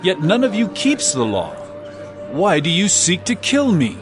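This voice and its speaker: An impassioned male teacher in an audio Bible drama. His voice sits fairly high and moves with lively swings, earnest and emphatic as he preaches with authority. Clear music plays behind him. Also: a little slow, heavily acted. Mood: challenging.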